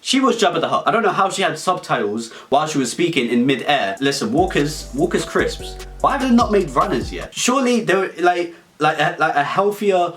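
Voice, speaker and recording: A man's voice: on the high side, big pitch swings, a little fast, few pauses, a bit bright. A British man delivering an absurd comedic storytelling monologue in a rambling, mock-serious way. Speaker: comedy video creator. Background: background music.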